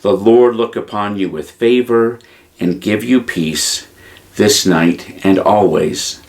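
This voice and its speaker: A man, a priest, speaking to children in simple, gentle words as he leads a storytime with song and blessing. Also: fairly low pitch, takes it slowly. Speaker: priest telling children's Bible stories.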